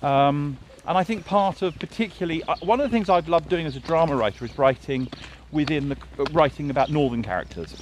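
A man's voice: fairly high pitch, fairly quick, few pauses. A man, a television drama writer, talking in a casual, thoughtful way with many fillers and restarts. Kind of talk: casual conversation. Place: outdoors by a road. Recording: faint street noise with passing traffic.

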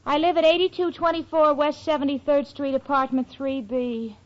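A woman's voice: high voice, steady tone, moderate pace, close to an everyday voice. An actress playing a sensible, eager young city woman with a wry streak in a 1940s radio comedy. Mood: flustered, polite. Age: young adult. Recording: dull vintage radio recording.

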